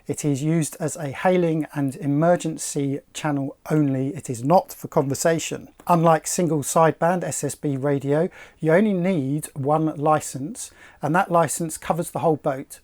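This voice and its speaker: A middle-aged man presenting to camera, explaining things in a measured, articulate, slightly formal way. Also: a little high, a little mellow. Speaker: sailing channel presenter.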